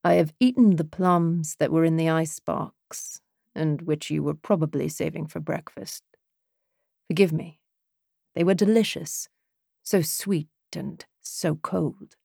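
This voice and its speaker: A woman voicing a polite, flirtatious British man in an audiobook, courteous and softly teasing. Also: sits low, fairly even intonation, unhurried, creaky, slightly rough, no echo, studio quality, heavily acted. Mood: thoughtful.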